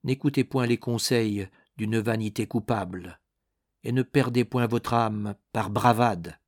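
A male reader plays an old soldier in a storybook. He speaks formally and earnestly, with honourable authority and a measured pace, in a dry recording. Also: on the low side, no echo. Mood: earnest counsel.

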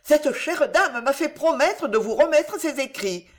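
A woman's voice: a little high, very animated, measured pace, pitched above the performer's own voice. A woman voicing a flustered, devout old gossip in an audiobook story, voluble and protesting. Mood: pleading protest. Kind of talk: storybook character acting.

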